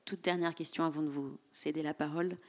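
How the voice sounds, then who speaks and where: A woman's voice: deep voice, steady tone, fast. A woman moderating a public book discussion, playful and teasing, laughing often as she questions guests and audience. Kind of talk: hosting a live public discussion. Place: public event room with audience.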